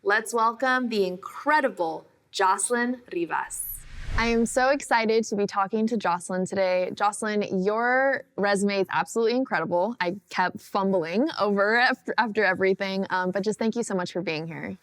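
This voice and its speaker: A woman hosting a podcast interview, reading a scripted intro and then asking questions with lively enthusiasm. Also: mid-range voice, moderate pace. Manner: warm and enthusiastic, praising the guest. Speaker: podcast host.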